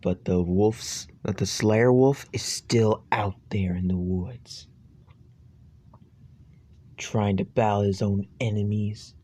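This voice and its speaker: A man improvising an amateur campfire horror story in a halting, ominous manner. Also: fairly low pitch, fairly even intonation, mellow. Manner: halting, ominous, stumbling over phrases.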